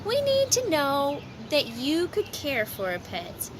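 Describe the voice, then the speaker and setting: A woman voicing calm, cautious turtle parents from a storybook, measured and gently firm. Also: heavily acted. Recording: noisy outdoor amateur recording, ambient rumble.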